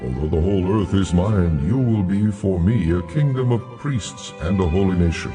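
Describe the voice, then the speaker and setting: A majestic deity speaks over prominent music in a scripture drama. The voice is very low, solemn and commanding, delivering each pronouncement with authority. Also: male voice, lively intonation, moderate pace.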